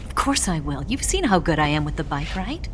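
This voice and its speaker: Low-voiced, flirtatious female spy. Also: sing-song, a little fast, a little hiss or hum. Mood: confident. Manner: teasing, seductive, confident briefing. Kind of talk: video game cutscene acting.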